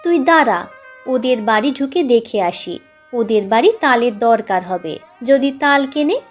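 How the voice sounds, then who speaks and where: A woman's voice: fairly high pitch, expressive, measured pace. A woman voicing a bossy, impatient twelve-year-old village boy in a narrated story. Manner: commanding, impatient with younger brother. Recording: music playing behind the voice.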